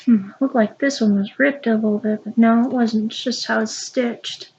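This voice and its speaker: Halting, stuffy-nosed young woman vlogger. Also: fairly high pitch, steady tone, a little mellow, husky, dull audio. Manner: halting, with many ums and restarts. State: stuffy nose, sniffling. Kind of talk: casual unboxing vlog.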